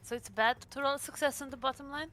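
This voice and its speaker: A woman's voice: sits high, fast, pushed and bright, soft background music. A woman playing a tabletop roleplaying game, talking through her moves in casual chat.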